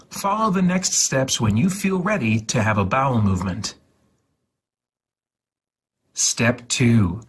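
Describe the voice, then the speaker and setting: A man reading an instruction guide aloud in a mock-serious, theatrical, deliberate way, with a husky voice. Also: medium pitch, a bit bright. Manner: theatrical, deliberate, repeats words for emphasis.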